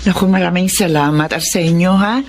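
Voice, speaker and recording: A woman's voice: lowish voice, brisk, a little hiss or hum, close to an everyday voice. An actress playing a grateful, humble, motherly poor woman in a radio drama.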